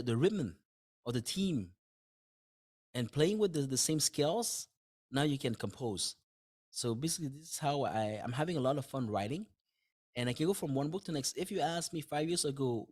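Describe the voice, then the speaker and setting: A man's voice: medium pitch, a little mellow. French-native man chatting as a guest. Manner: thinks aloud in simple words, with frequent restarts and light laughs. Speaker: podcast guest, dentist and author. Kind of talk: casual interview conversation.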